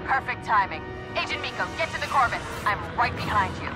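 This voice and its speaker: Woman playing a fast, urgent starfighter commander. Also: on the high side, animated, lightly acted. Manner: brisk, commanding. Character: urgent female starfighter squad commander in battle. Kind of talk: video game combat dialogue. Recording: battle vehicle noise and loud music.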